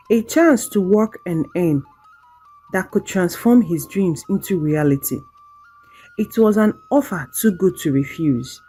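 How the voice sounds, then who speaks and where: A woman narrating a scripted folktale in a measured, dramatic storytelling manner. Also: medium pitch, dark tone, slightly rough.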